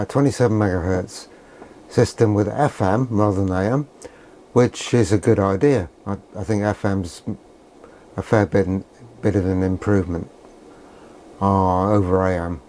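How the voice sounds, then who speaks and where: Older British male, lowish mellow voice, sardonic grumbler, unscripted vlog delivery. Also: fairly even intonation, a little slow, long pauses, slight background noise. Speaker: presenter of a vintage radio video. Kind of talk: rambling unscripted vlog commentary.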